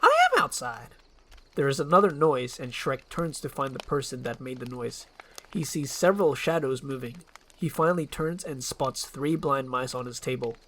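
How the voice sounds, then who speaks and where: A woman's voice: extremely low pitch, moderate pace, slight background noise. A woman reading scripted stage directions as the narrator of a screenplay. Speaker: narrator and voice performer.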